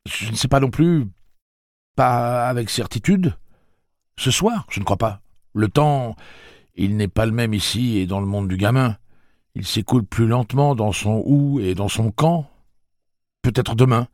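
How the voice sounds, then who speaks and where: A man voicing a panicky, quick-tempered young man who talks in a shrill, frantic way. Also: darkish tone, dry recording, studio quality, close to an everyday voice. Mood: anxious.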